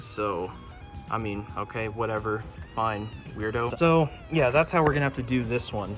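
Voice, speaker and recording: A man giving an informal hands-on walkthrough, talking casually as he works. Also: on the low side. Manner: informal, talking while working with his hands. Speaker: host of a hobby fire alarm channel. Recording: music playing behind the voice.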